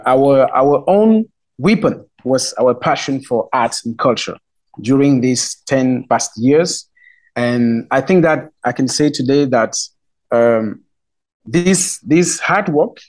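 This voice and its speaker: A Cameroonian man speaks English in a radio interview, searching for his words with fillers and restarts. His voice sits at an ordinary middle pitch for a man. He sounds middle-aged and earnest as he explains his festival. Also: a little mellow. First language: Romala. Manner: halting, many fillers and restarts. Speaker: festival spokesman.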